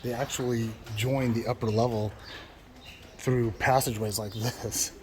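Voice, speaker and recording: A man's voice: mid-range voice, fairly even intonation, measured pace, noisy background. A man giving a casual walking tour of a museum, thinking aloud with fillers and restarts, his voice cracking now and then. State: voice keeps cracking. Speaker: travel vlogger. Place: indoor and courtyard museum rooms.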